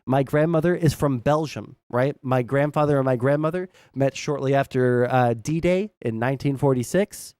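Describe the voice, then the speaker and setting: American man, smooth, dry close sound. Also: medium pitch, moderate pace, hardly any pauses, darkish tone, dry recording. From southern United States. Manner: rambling, blunt, heavy swearing. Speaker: livestream host. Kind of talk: casual political commentary to stream chat.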